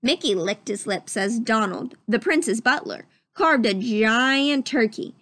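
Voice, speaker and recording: Animated, high-pitched woman reading a storybook. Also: slow pace, no echo. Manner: expressive, dramatic storytelling. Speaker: storybook reader. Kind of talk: scripted children's story narration, read aloud.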